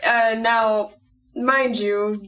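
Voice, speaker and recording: A woman's voice: on the high side, steady tone, drawn-out words, fairly bright tone, dull audio, slight background noise. A woman giving her views casually as a guest in a group conversation. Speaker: guest player on a talk show. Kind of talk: casual chat. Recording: distant from the mic.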